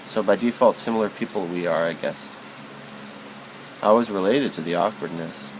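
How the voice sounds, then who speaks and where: A man giving a literary spoken-word recitation in a theatrical, halting, wordy way, stumbling and repeating himself. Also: sits low, a little hiss or hum, poor audio.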